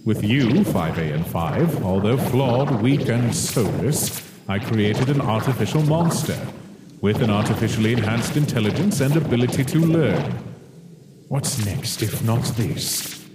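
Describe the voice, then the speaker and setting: A man playing a cold, condescending monster scientist obsessed with his work, speaking formally and deliberately in a dramatic comic dub. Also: mid-range voice, expressive, heavy background noise, lightly acted. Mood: brooding, ambitious. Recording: echoey hall reverb, music behind.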